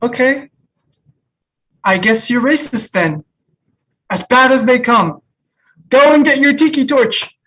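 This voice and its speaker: Woman playing a slow-paced, deadpan, easygoing co-worker. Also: high voice, breathy edge, exaggerated voice. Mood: deadpan teasing. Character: easygoing, frank, teasing new co-op hire Noah. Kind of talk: comedy table read.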